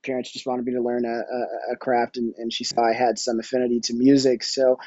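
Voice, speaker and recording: A man speaking as a podcast guest, explaining casually in a halting, hesitant way. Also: mid-range voice, level tone, darkish tone, dry recording, lo-fi sound. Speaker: podcast guest, audio drama producer and musician.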